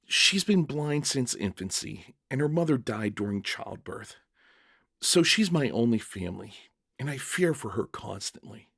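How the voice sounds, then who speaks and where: A man's voice: medium pitch, animated, a little slow, vocal fry, fairly bright tone, breathy edge, dry recording, studio quality, heavily acted. A man playing a troubled jailer and anxious, protective father in a story reading, guarded and questioning. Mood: anxious, confiding. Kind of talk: dramatic short-story reading.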